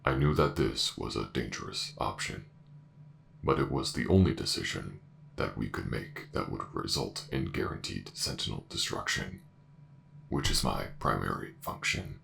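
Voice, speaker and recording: Casting: a ruthless machine intelligence for sci-fi audio drama, bottomless pitch, heavy gravel, formal and emotionless. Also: male voice, a bit bright, a little hiss or hum, exaggerated voice. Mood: detached, explanatory. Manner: formal, measured, emotionless delivery. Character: ancient warship AI director, cold, logical, ruthless. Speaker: voice actor in an audio roleplay.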